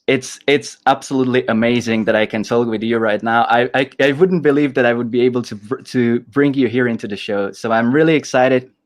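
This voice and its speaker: A man's voice: mid-range voice, fairly even intonation, brisk, hardly any pauses. A man hosting a casual livestream, enthusiastic and restarting his sentences often. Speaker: host.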